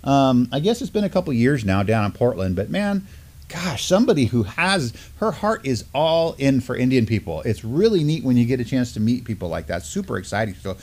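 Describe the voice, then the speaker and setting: A man's voice: mid-range voice, sing-song, brisk, a little mellow. A middle-aged man with an American accent hosting a casual news discussion in an informal, enthusiastic way. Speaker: news show host. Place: indoor studio at a table.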